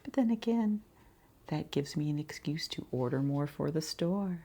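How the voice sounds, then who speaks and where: A woman doing a quiet ASMR roleplay as a shopkeeper, speaking softly and breathily in an unhurried way, often slipping into a whisper. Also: sits low, lively intonation. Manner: unhurried, gentle pauses, murmured acknowledgements.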